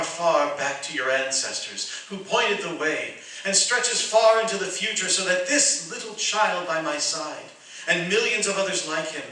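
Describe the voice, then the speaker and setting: A man's voice: high-pitched, pushed and bright. A man playing a noble spirit who preaches solemnly, in old-time radio drama style. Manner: solemn, oratorical. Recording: echoey stage, distant microphone.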